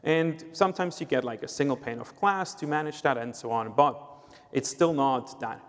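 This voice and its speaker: A man's voice: mid-range voice, moderate pace. A man from Switzerland with a Swiss accent, a sales executive explaining things on a conference panel, with frequent "uh" and "right?". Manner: explanatory, uses filler "uh" and "right?". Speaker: sales executive, guest speaker. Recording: long reverb of a hall.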